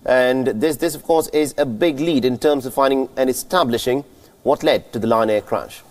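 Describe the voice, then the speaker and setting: A man's voice: mid-range voice, moderate pace, darkish tone. A man anchoring a live breaking news bulletin in a formal, wordy manner.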